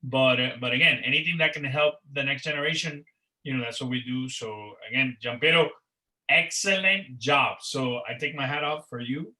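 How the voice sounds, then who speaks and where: Bright-voiced man wrapping up a panel. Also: mid-range voice, normal speed, rough recording. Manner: rambling, repeats words, many "uh" and "you know" fillers. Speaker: organizer of a career network panel. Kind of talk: closing remarks on a video call panel. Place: Miami. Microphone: video call.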